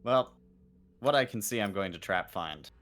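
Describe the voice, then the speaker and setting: A man's voice: on the low side, animated, moderate pace, fairly bright tone, slight background noise. A man chatting casually as a player in an online tabletop roleplay game.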